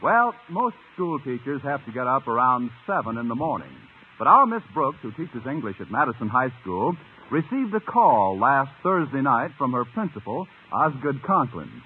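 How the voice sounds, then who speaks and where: A man's voice: medium pitch, big pitch swings, moderate pace, slightly rough. A man announcing and narrating a 1950s radio comedy in a polished, scripted presentational style. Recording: narrow, hissy old radio broadcast.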